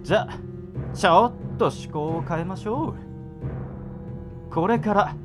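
A man's voice: sits high, a little slow, music in the background. A man acting a playful, mock-polite sadistic torturer in an amateur radio drama. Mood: coldly polite. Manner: sing-song mock politeness with giggling.

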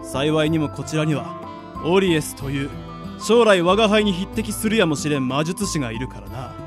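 A man plays a proud, ancient demon lord in an anime-style radio drama. His voice sits fairly high for a male, declaiming in grand archaic phrases, with music clearly under it. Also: lively intonation, moderate pace, natural voice. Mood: grave, proud.

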